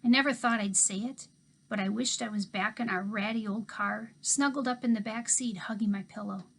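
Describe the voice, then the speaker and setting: A woman teacher reading a children's novel aloud to her class, expressive, dropping to a hush for asides. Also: mid-range voice, normal speed, a little hiss or hum. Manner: expressive storytelling, hushed asides.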